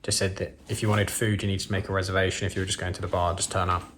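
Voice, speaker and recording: A man vlogging in a relaxed, rambling way, full of ums and restarts. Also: sits low, racing, slight background noise. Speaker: travel vlogger. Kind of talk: casual chat to camera.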